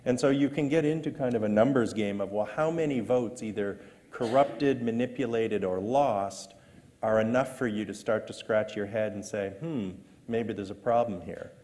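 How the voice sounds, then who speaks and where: A lower-voiced man from Canada speaks at a panel with measured, dry asides. His voice carries the long reverb of a lecture hall. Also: darkish tone. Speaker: panelist on elections. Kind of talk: panel discussion.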